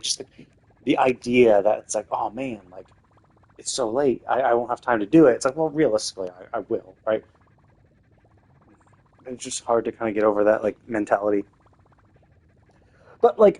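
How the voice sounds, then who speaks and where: A man's voice: medium pitch, animated, a little fast, a little mellow. A man streaming a game live, thinking aloud in a loose, rambling way with lots of restarts. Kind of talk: casual live-stream commentary while playing.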